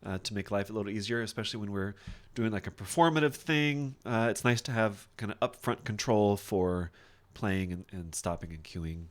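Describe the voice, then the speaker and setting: A man teaching software in a casual screen-share tutorial, thinking aloud informally as he demonstrates each step. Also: fairly low pitch, moderate pace, hardly any pauses. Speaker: software instructor.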